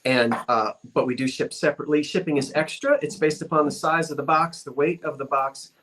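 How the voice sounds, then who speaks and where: A man's voice: fairly high pitch, brisk, fairly bright tone. A man hosting a casual live-stream sale over a video call, folksy and homespun in how he talks.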